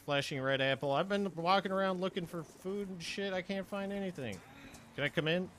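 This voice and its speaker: Brief: young male streamer, voice wearing hoarse, laid-back with sudden excited outbursts, faint hiss behind him. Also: high-pitched, brisk. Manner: swears freely, laid-back, bursts into excitement. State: voice going hoarse after hours of streaming. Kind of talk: casual gaming livestream chat.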